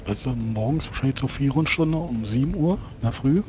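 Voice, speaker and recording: A man with a medium-pitched voice talks in character as a tabletop game player. He weighs things up in a measured way, with faint noise behind him. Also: expressive, darkish tone. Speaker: tabletop role-playing player.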